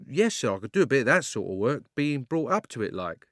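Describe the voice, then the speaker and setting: A man's voice: medium pitch, sing-song, fairly quick, no room sound, clean studio sound. A man doing a humble Cockney cabman. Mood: earnest. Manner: deferential, plain-spoken, slightly flustered. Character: humble, earnest male London cabman, middle-aged. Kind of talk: storybook reading.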